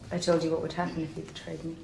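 An actress playing a young imperious queen, formal, solemn and measured, at a drama table read. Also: low voice, monotone, gravelly, darkish tone. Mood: cold, stern. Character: young imperious dragon queen passing sentence. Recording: roomy, noisy meeting hall.

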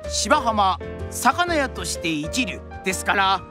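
A woman's voice: mid-range voice, very animated, takes it slowly, over music. A woman playing a storyteller narrating a period radio drama in traditional Japanese style. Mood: storytelling.

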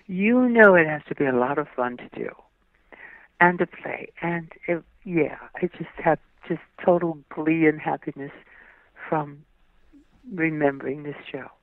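An older woman, a guest actress, recalling her television work in a gracious, drawn-out way, often affirming with yes. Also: sits low, no room sound. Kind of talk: interview recollection. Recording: tinny dry phone line.

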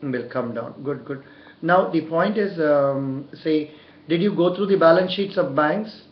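Older Indian man, echoey higher-pitched voice. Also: unhurried. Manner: encouraging, repeats short approvals. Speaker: interviewer and career coach. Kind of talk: mock job interview over the telephone. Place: small indoor room. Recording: dull, echoey room recording.

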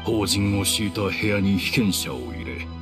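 A low-voiced, imperious old man leads a temple in a fantasy game. He speaks in solemn, archaic phrases, accusing and unforgiving, with loud dramatic music behind him. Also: expressive, a little slow. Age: older. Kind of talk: dramatic video game acting.

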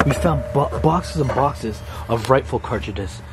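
A young man vlogging as he explores an abandoned house, talking excitedly to his viewers and swearing now and then, recorded on a handheld camera. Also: mid-range voice, measured pace, roomy sound, loud music behind the voice. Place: dark abandoned house, indoors. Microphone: handheld camera mic.

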